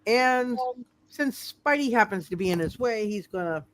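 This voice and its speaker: High-voiced halting man running a game. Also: lively intonation, a little hiss or hum. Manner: halting, repeats himself. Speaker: game master. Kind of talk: improvised tabletop game narration.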